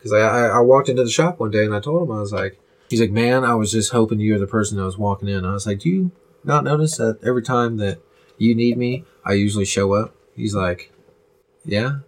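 A young man talks in a low voice as a podcast guest. He teases and brags with a deadpan delivery. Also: a little fast. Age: 20s. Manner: deadpan teasing, bragging. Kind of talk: casual joking chat.

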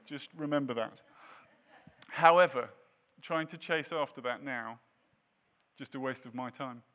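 A man's voice: mid-range voice, a little slow, sharp tone. A man in his late thirties preaching a sermon in a church, with a self-deprecating, jokey manner between points. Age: 30s. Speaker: pastor.

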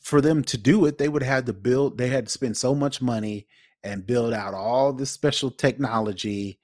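A man co-hosting a podcast, giving casual, opinionated commentary with emphatic, deliberate phrasing. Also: medium pitch, darkish tone, dry recording, studio quality. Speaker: podcast co-host.

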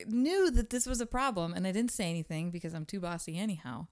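Lively, teasing woman podcast host. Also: lowish voice, fast. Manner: teasing, blunt, swears freely. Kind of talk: casual chat.

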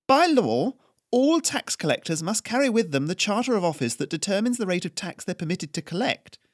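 A man voicing a confident, strident noblewoman who speaks with brisk authority. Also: medium pitch, sing-song, no echo, studio quality, voice pushed up. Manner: strident, forceful and proper.

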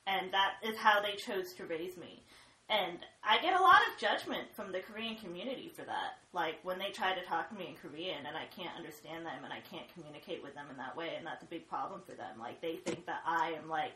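A woman speaking frankly in a casual panel discussion, animated but dropping low and quiet when serious. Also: a little fast, reverberant, slight background noise, low-quality recording. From United States.

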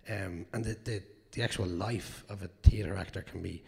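An Irish man with a low, gravelly, naturally hoarse voice talks through his craft in a loose, rambling way, swearing now and then. His words trail off into a long reverb from the hall around him. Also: steady tone, normal speed, a little mellow. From Ireland. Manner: candid, rambling, with fillers and swearing. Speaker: actor guest. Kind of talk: live Q&A answers.

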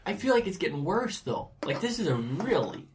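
A man's voice: fairly high pitch, very animated, fairly quick, slight background noise. A young man chatting casually as a student podcast host, blunt, sardonic and prone to swearing. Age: young adult. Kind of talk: casual chat.

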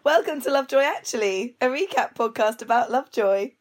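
A woman's voice: fairly high pitch, animated, fairly quick. A woman hosting a podcast in casual chat, sounding a bit nasal from a cold. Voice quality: slightly nasal. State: has a cold. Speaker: podcast host.

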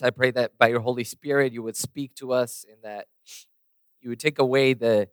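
A man's voice: mid-range voice, fairly bright tone, clean tone, no echo. A man preaching a sermon and praying, losing his voice a little, with many fillers and restarts. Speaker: pastor. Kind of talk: sermon with prayer.

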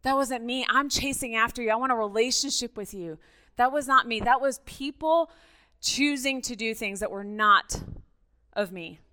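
A middle-aged woman from Mexico, a native Spanish speaker, giving a conversational, animated personal testimony as a guest speaker at church, often checking in with her listeners. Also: on the high side, normal speed, clean tone.

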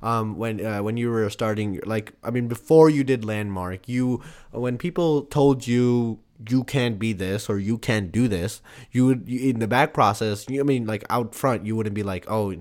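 A man's voice: on the low side, moderate pace, a little mellow. A young man, a student applying to college, talking casually and reflectively with lots of "like" and "you know". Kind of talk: casual conversation.

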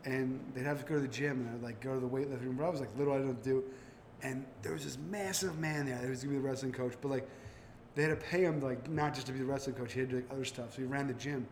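A man's voice: medium pitch, a little hiss or hum. A man hosting a casual podcast, talking animatedly and acting out quotes while telling stories. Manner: fast and animated, acts out quotes from his own stories. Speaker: podcast host. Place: brewery taproom.

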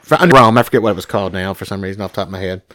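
A man's voice: deep voice, very fast, fairly bright tone, no echo. A man in his forties, co-hosting a casual talk about Bible interpretation, with a youngish, slightly whiny, country-sounding voice. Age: 40s. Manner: self-described young whiny voice with a country twang. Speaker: podcast co-host.

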